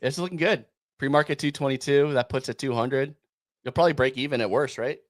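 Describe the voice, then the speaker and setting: A man's voice: medium pitch, fairly quick. A man hosting a casual crypto podcast, laid-back and hesitant, with frequent ums and uhs as he talks. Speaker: podcast host.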